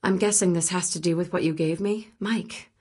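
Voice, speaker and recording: A woman's voice: on the low side, steady tone, normal speed, a little mellow, breathy edge. An actress playing a cool, wry, self-possessed woman photojournalist and sniper in a video game. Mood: earnest, subdued. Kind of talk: video game voice acting, naturalistic dialogue.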